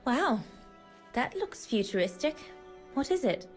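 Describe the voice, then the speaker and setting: A woman's voice: high voice, sing-song, unhurried, faint music behind. An actress playing a polite, curious seventeen-year-old girl in a video game, earnest and a little naive.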